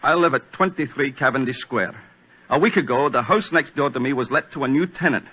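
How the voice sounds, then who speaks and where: An actor playing an agitated, self-important gentleman, fearful and obsessed, with a dramatic, overwrought delivery in 1940s radio drama style. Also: medium pitch, brisk, breathy edge, close to an everyday voice. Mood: uneasy, earnest. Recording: muffled old radio recording.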